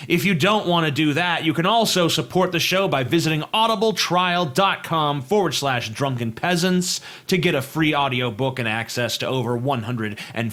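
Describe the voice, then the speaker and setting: A man with a bright, slightly high voice delivers a scripted podcast introduction. He speaks formally and in measured fashion, as the show's announcer.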